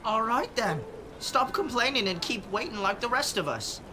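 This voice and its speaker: A woman's voice: a little high, animated, moderate pace, background noise, lightly acted. A woman playing a shrewd, earnest boy who commands a band of street children in an audio drama. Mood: bossy, dry. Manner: commanding, matter-of-fact. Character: young boy leading street urchins, bossy, earnest, shrewd. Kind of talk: scripted audio drama acting.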